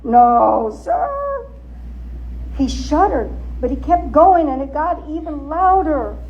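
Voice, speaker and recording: A woman giving a theatrical, suspenseful dramatic reading of a story, with deliberate emphasis. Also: sits high, animated, lo-fi sound. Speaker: author and storyteller.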